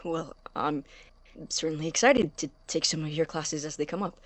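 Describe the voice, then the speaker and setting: An actor playing an eager, nervous young science student in an audio drama, hesitant, stammering and apologetically polite. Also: male voice, on the high side, normal speed, fairly bright tone, no echo, close to an everyday voice. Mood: flustered, polite.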